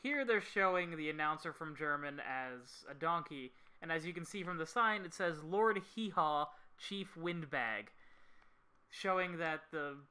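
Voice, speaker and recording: A man giving casual, slightly halting commentary as host of a video about an old film. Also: fairly high pitch, animated, sharp tone.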